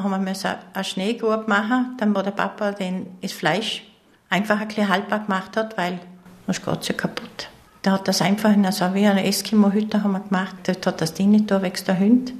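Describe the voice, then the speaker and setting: A woman's voice: mid-range voice, level tone, moderate pace. An older woman from East Tyrol with a Vorarlberg Austrian accent, reminiscing conversationally as an interview guest. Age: 60s or older.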